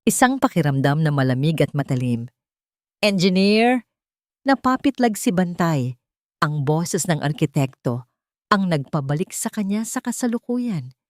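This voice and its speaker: A woman's voice: low voice, very animated, moderate pace, no room sound, studio quality. A woman reading a scripted romance story as a dramatic narrator.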